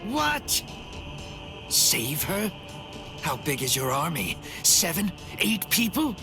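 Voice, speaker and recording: An actor playing a proud, brash wounded fighter in a video game, with a gruff, mocking and dramatic delivery. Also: male voice, fairly high pitch, wildly swooping pitch, takes it slowly, music in the background, full character voice. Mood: incredulous, mocking. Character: brash wounded young male warrior, proud and scornful. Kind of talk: English video game voice acting.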